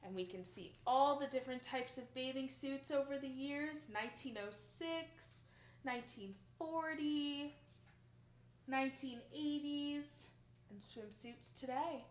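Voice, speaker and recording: A young adult woman reading a picture book aloud to children in an expressive, emphatic storytelling manner. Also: sits high, measured pace. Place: small plain indoor room. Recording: roomy indoor echo.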